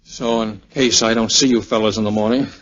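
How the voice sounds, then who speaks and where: A man's voice: fairly low pitch, fairly even intonation, a little fast, natural voice. Man acting a tender 1940s radio-drama airman, muffled. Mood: subdued. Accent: American. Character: tender, wisecracking young army air officer in love.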